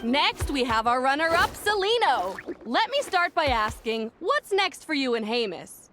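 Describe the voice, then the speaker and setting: A woman's voice: sits high, very animated, unhurried, full character voice. A woman playing an upbeat, showy tournament announcer and interviewer in a video game. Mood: cheerful, courteous. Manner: upbeat showy presenter delivery. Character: bubbly female tournament announcer interviewing contest finalists. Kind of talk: game voice acting, event hosting. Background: loud background music.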